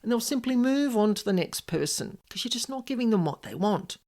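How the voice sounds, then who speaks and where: Woman, podcast host, higher-pitched, very lively intonation, emphatic, informal solo storytelling. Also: brisk. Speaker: podcast host, paranormal specialist. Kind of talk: informal scripted podcast monologue, personal stories and advice.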